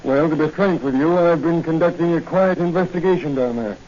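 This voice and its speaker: An actor playing an anxious middle-aged police commissioner in 1940s radio style, formal and earnest. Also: male voice, fairly high pitch, fairly quick, darkish tone, close to an everyday voice. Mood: worried, formal. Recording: muffled, noisy 1940 radio recording.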